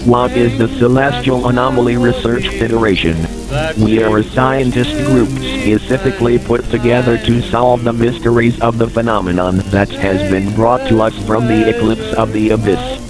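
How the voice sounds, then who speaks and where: A man playing an emergency and information announcer, speaking in a calm, official way. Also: medium pitch, moderate pace, music in the background, natural voice, poor audio.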